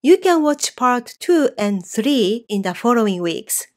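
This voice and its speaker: A woman teaching a Japanese course online, explaining clearly and slowing down on example phrases. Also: fairly high pitch, sing-song, darkish tone. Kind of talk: scripted online lesson.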